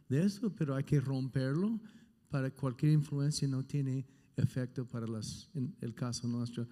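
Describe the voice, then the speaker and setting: A man in his sixties, a native English speaker with a non-native accent, giving halting, unscripted testimony as a pastor. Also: mid-range voice, dark tone. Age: 60s. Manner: halting, searching for words. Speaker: pastor on a question panel. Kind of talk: unscripted personal testimony and teaching. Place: large hall at a conference. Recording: reverberant hall sound.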